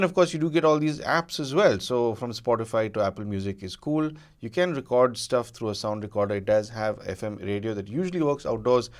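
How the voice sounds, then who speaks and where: A man giving a conversational product review as a tech reviewer, measured and often pausing or repeating words as he goes. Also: mid-range voice.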